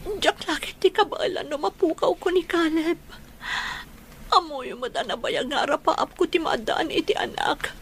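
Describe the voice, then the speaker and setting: A woman's voice: extremely high pitch, normal speed, slight background noise. A woman playing an anxious, tender young new mother in a radio drama, with a Filipino accent. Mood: tearful, distressed.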